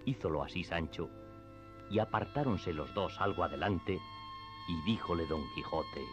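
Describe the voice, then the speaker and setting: A man narrating a classic novel in a radio drama, with measured literary storytelling. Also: low voice, animated. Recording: slightly dull, band-limited audio. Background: faint background music.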